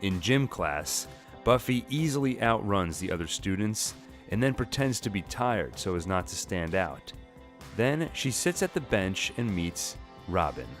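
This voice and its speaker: A man's voice: fairly low pitch, big pitch swings, unhurried. A man giving a scripted, dramatized narration of a comic story. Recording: music bed behind the voice.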